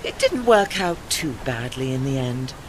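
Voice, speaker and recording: Guy playing a posh-mannered sad old sailing warship, wistful and gentle, swoopy intonation, radio drama with engine noise behind. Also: medium pitch, very animated, moderate pace, close to an everyday voice. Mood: modest, wry. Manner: genteel, formal, wistful. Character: refined, melancholy old retired warship with gentle dignity. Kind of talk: audio play acting.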